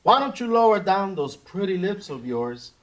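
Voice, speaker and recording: A man's voice: sits high, big pitch swings, low-quality recording. Man acting a creepy, swooping little lecher. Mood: menacing, mocking. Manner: halting, searching for words, leering. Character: short creepy man, lecherous, bullying, crude bounty hunter. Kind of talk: screenplay table read acting. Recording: live table read.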